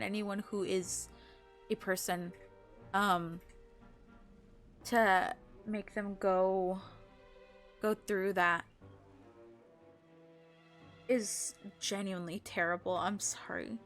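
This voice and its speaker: A woman livestreamer chatting casually with viewers, halting and emotional in a gushing way. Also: mid-range voice, fairly even intonation, a bit bright, faint music behind. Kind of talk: casual live game stream chat, recapping to viewers.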